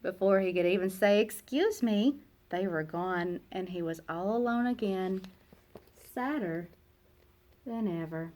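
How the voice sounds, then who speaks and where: A woman narrating a children's picture book aloud in an expressive, dramatic way, with emphatic pauses and hushed phrase endings. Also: mid-range voice. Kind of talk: expressive storybook read-aloud.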